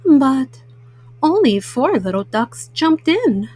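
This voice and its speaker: A woman storyteller reading a children's picture book at bedtime, slowly and simply, as a mama to young listeners. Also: high voice, very animated, darkish tone, husky, no room sound. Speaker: storyteller host.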